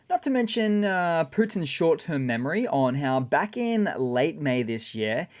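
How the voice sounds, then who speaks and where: A man with a raspy voice hosting a casual, sarcastic news commentary. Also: medium pitch, darkish tone. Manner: sarcastic, chatty. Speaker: channel host.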